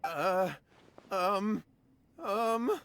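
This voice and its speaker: A man's voice: high-pitched, extremely slow, fairly bright tone, clear, resonant tone, exaggerated voice. A man voicing a timid, evasive, panicky young man in a video game, stammering and hesitant. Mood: nervous, evasive.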